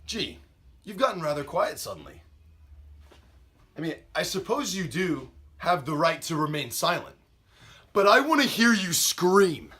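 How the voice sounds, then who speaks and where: Man acting out a bright-voiced, taunting American killer. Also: a little high, big pitch swings, a little slow, pauses often, voice pushed up, full character voice. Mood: taunting, threatening. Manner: taunting, theatrical, mock-polite. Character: menacing, gloating male killer toying with his victim. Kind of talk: dramatic audition monologue.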